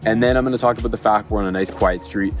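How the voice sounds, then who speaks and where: A man with a slightly hoarse voice, a real estate agent talking quickly and energetically outdoors, switching between casual explanation and an upbeat sales pitch. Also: soft background music. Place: outdoors at a house.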